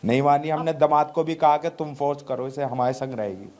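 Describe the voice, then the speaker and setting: A man's voice: on the high side, fairly even intonation, brisk. A middle-aged man giving plain, short interview answers and repeating his statements. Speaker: father being interviewed.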